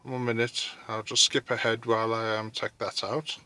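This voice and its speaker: A man giving casual, halting hands-on commentary as he thinks aloud through a DIY job, recorded on a handheld phone. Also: fairly low pitch, little pitch movement, pushed and bright, smooth, dry recording. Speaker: vlogger narrating a DIY car radio install.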